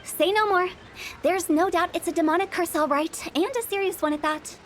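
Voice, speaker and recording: A woman's voice: extremely high pitch, normal speed, slight background noise. An actress playing a playful, shrewd young woman who runs a funeral parlor in a game, confident and teasing. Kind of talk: game voice acting.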